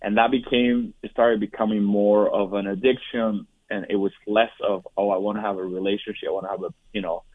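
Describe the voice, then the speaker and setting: A man from Puerto Rico in his forties, a guest telling his personal story in an interview, talking haltingly with frequent restarts and fillers. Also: lowish voice, level tone, dry recording. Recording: dry, tinny phone-like line.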